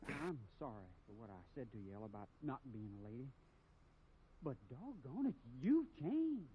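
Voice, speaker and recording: An actor playing an earnest, flustered young cowboy in a 1950s radio drama, stammering and eager. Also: male voice, medium pitch, very animated, moderate pace, natural voice. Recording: thin, phone-like old radio recording with hiss.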